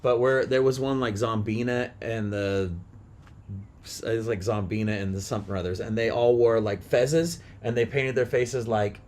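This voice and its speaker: A man with a mid-pitched voice chats casually about music as a podcast co-host. He talks in halting fragments, building his thoughts as he goes. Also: steady tone, darkish tone.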